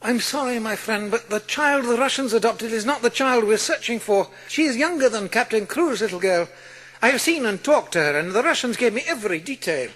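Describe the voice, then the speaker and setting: Casting: dependable English family man, high lively voice, sympathetic and polite, for dramatised storybook. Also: a little fast, full character voice, rough recording. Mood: sympathetic, reassuring. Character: steady, reassuring family man and gentleman friend. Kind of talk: dramatised audiobook acting.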